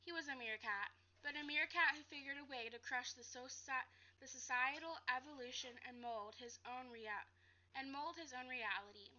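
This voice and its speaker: A woman's voice: high voice, moderate pace, pushed and bright. A young adult woman reading a storybook aloud like a teacher, carefully, with some stumbles and repeats. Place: living room, seated on a couch. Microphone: webcam at a short distance. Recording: dull lo-fi webcam audio.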